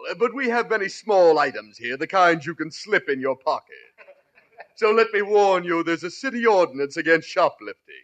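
Snippy, high-voiced salesclerk. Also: male voice, lively intonation, moderate pace, fairly bright tone. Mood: prim, suspicious. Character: snippy, insulting male store salesclerk. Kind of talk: 1950s radio comedy acting.

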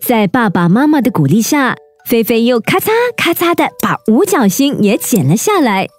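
A woman storyteller with a fairly high, very lively voice addresses young children directly. She narrates expressively as host, over light children's music. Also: normal speed.

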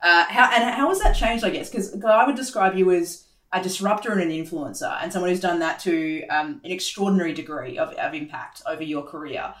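An encouraging interviewer who asks long, rambling questions and keeps the talk going with short words of agreement. The voice sits at a middling pitch. It sounds like an echoey room. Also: fairly quick, hardly any pauses, fairly bright tone. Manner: encouraging, with frequent short backchannels. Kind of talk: conversational interview.

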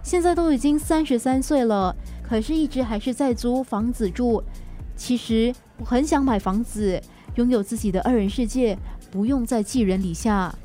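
A woman's voice: on the high side, normal speed, warm tone, soft background music, close to an everyday voice. An actress playing an earnest, easily wounded wife in her thirties in a radio drama, with emotive, pleading delivery. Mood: weary, aggrieved. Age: 30s.

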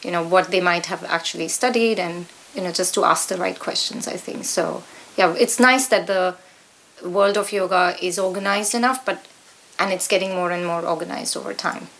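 A woman's voice: on the low side, normal speed, vocal fry, fairly bright tone, rough recording. A middle-aged woman with an Indian accent, talking conversationally as an interview guest. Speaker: guest, yoga teacher.

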